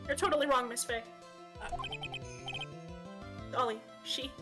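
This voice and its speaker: A woman's voice: high-pitched, steady tone, unhurried, over loud music. A woman voicing a lovesick, pleading young male defendant in a courtroom video game, whiny and imploring. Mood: whiny protest. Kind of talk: amateur game voice acting.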